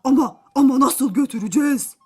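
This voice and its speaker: Woman playing a greedy, excitable storybook lion. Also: sits high, a little fast, husky, faint music behind, voice pushed up. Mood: eager, puzzled. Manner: drooling, exclaiming, slightly dim. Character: big greedy, gullible male lion, hungry and eager. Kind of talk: children's folk tale storytelling.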